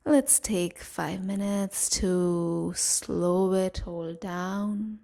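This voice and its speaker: A woman leading a guided meditation in a soothing, gentle manner, leaving long pauses between short phrases. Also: fairly low pitch, vocal fry. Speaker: meditation guide and series host.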